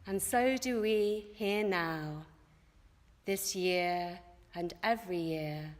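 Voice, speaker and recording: A woman yoga teacher narrating a guided relaxation in a soothing, measured way with long pauses between phrases. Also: fairly low pitch. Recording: echoey room with long reverb.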